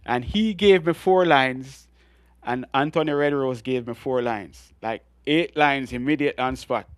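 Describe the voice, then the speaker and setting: A middle-aged Jamaican man with a deep voice, telling animated stories as a guest in an interview. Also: a little slow, clear, resonant tone, no room sound, clean studio sound. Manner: animated storytelling with frequent laughs and restarts. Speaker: guest reggae artist. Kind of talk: storytelling interview.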